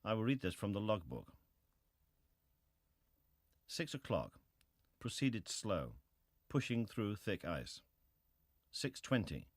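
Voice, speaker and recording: A ship's captain on the witness stand, with a low voice recorded dry. He is clipped, restrained and matter-of-fact, in the style of dramatized testimony. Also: very animated, slow, long pauses, no room sound, exaggerated voice. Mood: terse, restrained. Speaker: actor reading hearing testimony. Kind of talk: dramatized reading of historical testimony.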